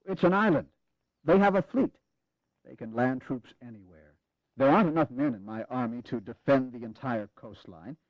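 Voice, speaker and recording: An actor playing a grave, courteous American Revolutionary general in an audio drama, speaking in a measured, formal way. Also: male voice, mid-range voice, no echo, close to an everyday voice. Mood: grave, resolute. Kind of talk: dramatized history audio acting. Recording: dull, lo-fi 1980s audio drama.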